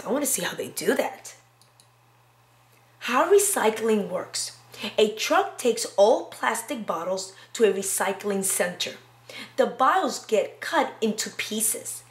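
A woman in her thirties teaching and reading to young children in an animated, simple, questioning way. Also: mid-range voice. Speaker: preschool teacher. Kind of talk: lesson and read-aloud for young children.

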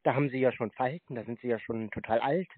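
A man mocking a phone scammer with deadpan sarcasm over a call. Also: medium pitch, fast talker, husky, dry recording. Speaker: channel host trolling a scam caller. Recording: tinny phone line.

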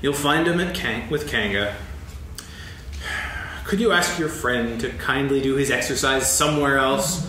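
A sarcastic, self-pitying old donkey, dry and mournful, his pitch rising and falling widely. It sounds recorded from afar in an echoey hall with steady background noise. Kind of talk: staged reading of a children's play. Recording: echoey stage, distant mic, background noise.

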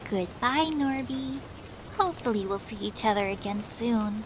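A woman's voice: fairly high pitch, normal speed, slight background noise, lightly acted, poor audio. A woman voicing a caring, affectionate female cartoon beaver girlfriend in a cutesy, soothing, doting way. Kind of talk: cartoon fan fiction audio reading.

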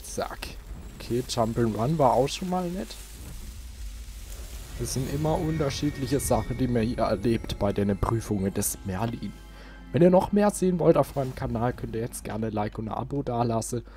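A man's voice: mid-range voice, animated, moderate pace, warm tone. A man doing casual let's play commentary, thinking aloud with playful little interjections. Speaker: let's player, channel host. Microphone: close desk microphone. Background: game music.

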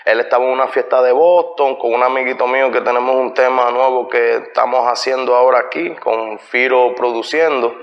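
A middle-aged man with a Puerto Rican Spanish accent, talking as a guest in a casual interview, laid-back and unhurried, recorded in a bright living room from a far camera. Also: mid-range voice, steady tone. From Puerto Rico. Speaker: guest, musician. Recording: lo-fi sound with long room reverb.

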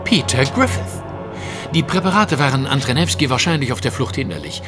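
An actor playing a witty, self-assured detective in an audio drama, speaking precisely and with dry irony. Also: male voice, mid-range voice, lively intonation, brisk, music in the background, natural voice. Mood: brisk, commanding. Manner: precise, dryly ironic. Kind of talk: German audio drama acting.

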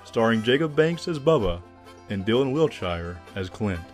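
A man's voice: mid-range voice, sing-song, moderate pace, music in the background. A man giving scripted narration as the announcer of an audio drama.

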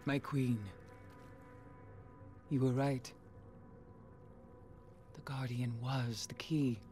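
A solemn weary queen's servant in a game, slow talking, music under it. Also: medium pitch, little pitch movement, a little mellow. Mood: reverent, resigned. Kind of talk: dramatic video game cutscene acting.

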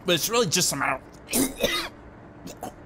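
A man's voice: sits high, wildly swooping pitch, measured pace, pushed and bright, a little hiss or hum, full character voice. A man in an audio drama playing a cocky, eccentric starship engineer, chatty, slangy and rambling. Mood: excited, breezy.

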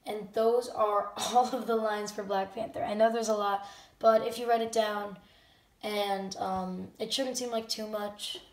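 Casual youtube host, kinda hesitant, high voice for a guy or normal for a woman, roomy and far from mic. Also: little pitch movement, unhurried. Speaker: channel host. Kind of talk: casual unscripted talk to camera audience. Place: indoor room at home. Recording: roomy, distant microphone.

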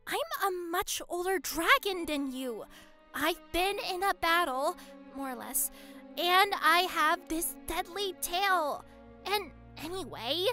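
A woman's voice: extremely high pitch, pushed and bright, heavily acted. A woman playing a vain, airheaded dragon princess in an audio drama, gushing and haughty. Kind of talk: fan audio drama acting.

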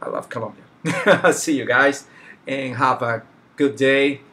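A middle-aged man whose first language is Spanish talks through a live drawing stream with a bright, forward tone. His English carries a Spanish accent, and he pauses often to hunt for words, filling the gaps with "uh" and "like." He sounds like he is at a desk in a small home room. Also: mid-range voice. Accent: Spanish-speaking. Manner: halting, searching for words, many fillers. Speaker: artist streaming a drawing session. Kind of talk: casual live-stream commentary while sketching.

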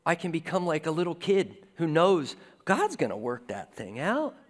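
A man in his forties preaches from a church stage in an easy, conversational way, his voice sitting a little high for a man. The sound carries a long reverb from the hall around him. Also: moderate pace. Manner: conversational preaching with lively gestures. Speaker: pastor. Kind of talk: sermon. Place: church stage with a lectern and guitars.

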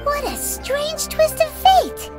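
A woman voicing a tiny, cheeky fairy girl companion in a video game, speaking in a high, squeaky, bouncy voice. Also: big pitch swings, takes it slowly, exaggerated voice. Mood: surprised. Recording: game audio with music underneath.

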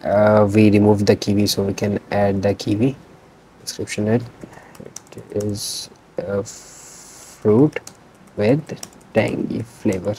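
A man's voice: lowish voice, little pitch movement, takes it slowly, long pauses, warm tone, slight background noise, poor audio. A young man casually walking through a website demo from his room, talking loosely with restarts. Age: young adult. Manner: loose, unscripted, with fillers and restarts. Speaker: student presenting a project. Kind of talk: casual screen-recorded demo walkthrough. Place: small indoor room.

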